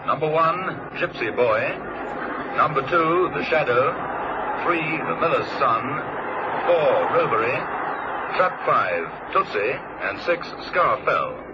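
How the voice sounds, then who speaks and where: High-pitched race announcer, very noisy radio. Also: male voice. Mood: formal. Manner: clipped, declamatory. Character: brisk male greyhound race track announcer. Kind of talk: race commentary in vintage radio drama. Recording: muffled vintage radio, heavy noise.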